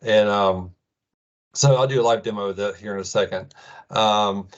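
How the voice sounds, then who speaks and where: A middle-aged man with an American accent giving an informal tech presentation in a casual, halting way full of ums. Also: fairly low pitch, highs cut off. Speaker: presenter, IT administrator.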